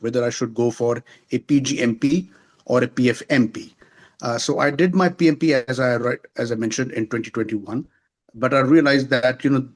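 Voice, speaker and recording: A man in his forties from Pakistan, answering interview questions over a video call in a measured, formal way with many pauses. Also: mid-range voice. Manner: measured, formal, frequent hesitations. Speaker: interview guest. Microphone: remote video call.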